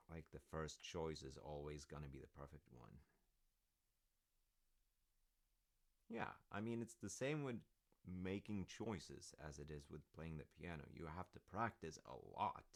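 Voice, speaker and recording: A man playing a supportive, rambling dad in a roleplay audio, talking gently and hesitantly to his grown child in a gravelly voice. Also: very deep voice, full character voice. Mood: musing, encouraging. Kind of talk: roleplay audio, one-sided conversation.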